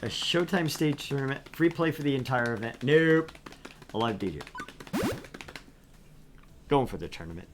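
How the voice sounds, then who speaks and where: A man hosting a let's play, reading a visual novel aloud with lively, animated delivery and joking asides. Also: mid-range voice, moderate pace, pauses often, a little hiss or hum.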